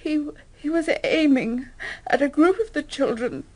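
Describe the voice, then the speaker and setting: A distraught wife in a radio play, pitched high. She is halting and apologetic, stumbling over her words as she struggles to stay composed. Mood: distressed. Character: shaken, distraught wife recounting a violent death. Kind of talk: radio drama acting.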